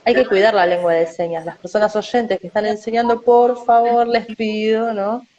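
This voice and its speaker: A woman with a Rioplatense Spanish accent giving measured interview answers, often tagging her sentences with a questioning "no?". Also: mid-range voice, fairly even intonation, darkish tone, muffled recording, slight background noise. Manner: measured, often adds "no?" tags.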